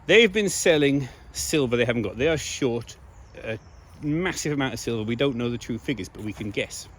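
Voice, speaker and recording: Slightly hoarse man vlogging outdoors. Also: mid-range voice, lively intonation, brisk. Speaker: video blogger. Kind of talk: casual monologue to viewers.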